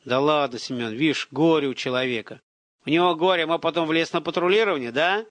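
A man's voice: medium pitch, expressive, a little fast, sharp tone, dry recording. A grumpy older guard, acted out by a male narrator in an audiobook story. He mutters and grumbles in a crude way, yet has sympathy. The recording is dry and close. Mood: placating.

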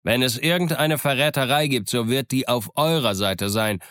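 A man performs a stern sea captain in an adventure audiobook. He speaks in clipped, curt commands, firm and somewhat raised in pitch, with a close dry sound. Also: normal speed, fairly bright tone, clear, resonant tone, no room sound, close to an everyday voice. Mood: curt, defiant. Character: stern, brusque male ship's captain giving orders.

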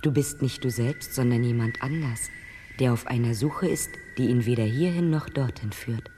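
An actor playing a calm, cryptic oracle who speaks in riddles, unhurried and enigmatic, in a radio play. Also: male voice, mid-range voice, close to an everyday voice. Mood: serene. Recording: long reverb, noisy ambience.

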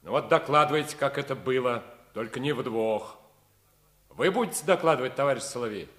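Guy playing army colonel, firm but fatherly, brisk orders, normal pitch, old soviet radio play. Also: fairly bright tone, lightly acted. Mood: wry, commanding. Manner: commanding, paternal, brisk. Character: authoritative, good-humored army lieutenant colonel. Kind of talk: 1950s Soviet radio theatre acting.

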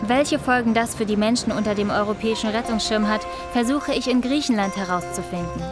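A woman's voice: medium pitch, a little fast, natural voice. A woman playing a composed, probing reporter who narrates and interviews in a documentary-style radio play. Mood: composed narration. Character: inquisitive woman reporter narrating her investigation. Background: music.

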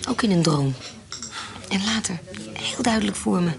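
Anxious, creaky-voiced scheming woman. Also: medium pitch, expressive, normal speed. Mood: mysterious, insistent. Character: anxious superstitious scheming woman claiming second sight, remorseful, earnest. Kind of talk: Dutch radio drama acting. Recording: noisy old radio drama recording.

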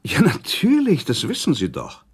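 A man acting in a German radio play as a haughty, evasive aristocratic gentleman under accusation, with formal, defensive delivery and a husky voice. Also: on the high side, wildly swooping pitch, moderate pace, exaggerated voice.

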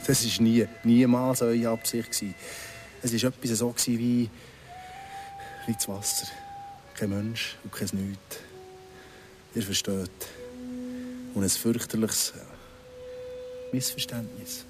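A man acting in a Swiss German radio drama as an embittered, menacingly insistent ex-convict in his thirties, speaking deliberately and sardonically with pointed pauses. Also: mid-range voice, huge pitch swings, background noise. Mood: insistent, quiet. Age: middle-aged. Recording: music behind the voice.

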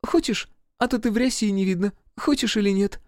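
A man voicing excited, teasing young women with a gleeful, squealing manner in a comic audiobook. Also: high-pitched, a little fast, no room sound, studio quality, pitched above the performer's own voice, close to an everyday voice. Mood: coaxing, breathless.

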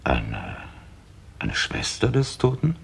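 Skeptical police chief, deep husky voice, probing and courteous, old radio detective play. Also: male voice, wildly swooping pitch, takes it slowly, exaggerated voice. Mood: businesslike, skeptical. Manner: probing, hesitant murmurs, courteous but commanding. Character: businesslike, skeptical London police chief inspector. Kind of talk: 1960s German radio crime drama acting.

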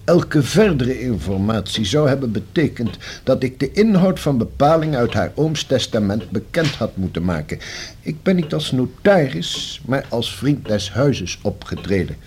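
An actor playing a formal, precise and long-winded notary in a Dutch radio play, with a slightly husky voice. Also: male voice, mid-range voice, sing-song, normal speed, darkish tone, slight background noise. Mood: earnest, justifying. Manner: formal, precise, pedantic legal phrasing. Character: formal, discreet, long-winded male notary explaining a will.

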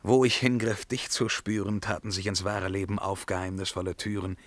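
An actor playing a tormented emperor in a German verse radio drama, declaiming solemnly and moving between hushed and crying out. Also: male voice, deep voice, little pitch movement, brisk, no echo, close to an everyday voice. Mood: grave, resolute. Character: tormented Byzantine emperor breaking free of a witch's spell.

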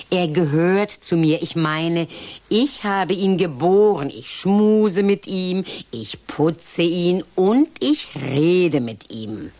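A woman's voice: low-pitched, a little mellow, no echo, full character voice. A woman in a radio drama speaking in a dry, matter-of-fact way, later declaiming a strange incantation. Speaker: radio drama lead character.

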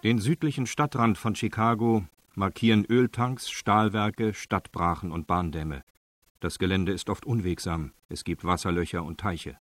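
A man's voice: deep voice, steady tone, normal speed. A man narrating a radio play in a sober, reportorial manner, reading scripted prose.

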